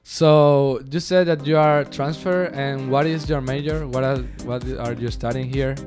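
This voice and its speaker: A man's voice: mid-range voice, steady tone, measured pace, darkish tone, soft background music. A young man hosting a casual radio interview, introducing his guest and asking simple conversational questions. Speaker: radio show host, interviewer.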